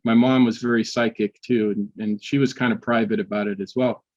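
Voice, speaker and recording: Halting older man on dull audio. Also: on the low side, fairly even intonation, a little mellow. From United States. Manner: halting, many restarts and fillers. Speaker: guest, history professor. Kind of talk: casual interview storytelling.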